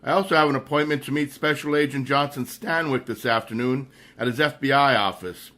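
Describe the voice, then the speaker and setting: A man playing a suave, wealthy amateur sleuth with measured, precise speech in a radio mystery. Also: medium pitch, sharp tone, natural voice. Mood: composed.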